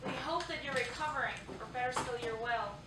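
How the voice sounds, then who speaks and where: A woman performs an earnest volunteer consoling soldiers, in a fairly high voice. It is a staged reading, captured from off in a noisy hall. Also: brisk, close to an everyday voice. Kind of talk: staged play reading, rhymed verse. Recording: echoey theatre, distant mic, noisy.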